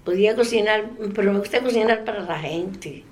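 A woman in her eighties from the Dominican Republic with a Dominican accent, giving a soft-spoken oral history interview. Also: mid-range voice, brisk, a little hiss or hum. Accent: Dominican Spanish. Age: 80s. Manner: soft-spoken, trailing off into quiet.

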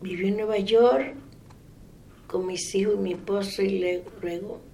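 Gravelly older Dominican woman, slow. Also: medium pitch, fairly even intonation, a little hiss or hum. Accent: Dominican Spanish. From Dominican Republic. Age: 80s. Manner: soft-spoken, trailing off into quiet. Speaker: interviewee. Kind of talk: oral history interview.

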